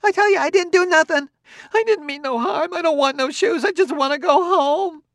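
A man's voice: very high-pitched, very animated, takes it slowly, a bit bright, no echo, voice pushed up, exaggerated voice. A man voicing a frantic, sobbing working-class woman who shrieks in panic, in an audiobook reading. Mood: panicked shrieking.

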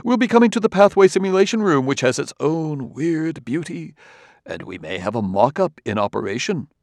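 A man acts out a courtly roboticist from an audiobook, all smooth talk and wolfish friendliness. His lines run on softly and swing up high in very lively melody, close and dry. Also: normal speed, no room sound. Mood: effusive, courtly. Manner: courtly, talkative, softly running sentences. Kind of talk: audiobook character dialogue.

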